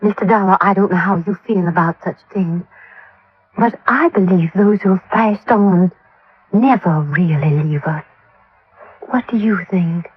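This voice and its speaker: A woman's voice: on the low side, unhurried, a little hiss or hum, lightly acted. An actress playing a genteel, middle-aged grieving mother, a dotty believer in spiritualism, speaking earnestly and properly in a radio drama. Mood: wistful, earnest. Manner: earnest, proper, slightly scatterbrained. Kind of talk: 1950s radio drama acting. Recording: tinny vintage radio, band-limited.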